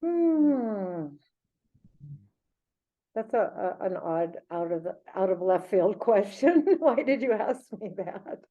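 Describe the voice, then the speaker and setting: A woman's voice: mid-range voice, animated, takes it slowly. A woman chatting casually as a podcast co-host, musing in a reflective way. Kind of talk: casual unscripted conversation. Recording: thin phone-like audio.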